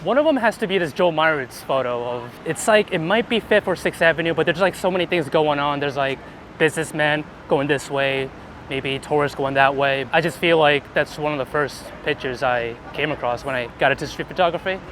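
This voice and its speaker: A man's voice: on the high side, a little fast, a bit bright. A man being interviewed as a guest, chatting casually and informally on a busy city street. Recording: noisy outdoor city street with traffic.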